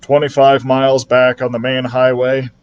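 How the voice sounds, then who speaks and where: A man's voice: mid-range voice, completely flat delivery, normal speed, clean tone, highs cut off, close to an everyday voice. A man voicing an exasperated, practical father in a children's storybook reading.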